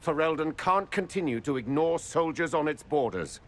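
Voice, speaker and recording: A man's voice: fairly high pitch, expressive, moderate pace, natural voice. Stern, formal nobleman envoy, played by a man. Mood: firm. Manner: formal, grave, diplomatic. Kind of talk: dramatic video game dialogue.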